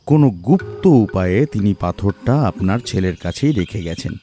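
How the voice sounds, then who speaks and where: A man playing a composed, analytical detective in an audio mystery, explaining deliberately. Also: lowish voice, expressive, mellow, soft background music, lightly acted. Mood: calm, deductive. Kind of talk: audio detective story acting.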